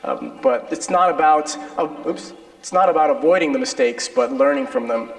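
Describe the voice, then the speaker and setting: A man's voice: fairly high pitch, normal speed, gravelly. A man with an American accent giving an informal, conversational, self-deprecating lecture with personal anecdotes. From born in Peru, grew up in the United States. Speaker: lecturer, dental implant surgeon. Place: indoor lecture hall with an audience. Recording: echoey hall with long reverb.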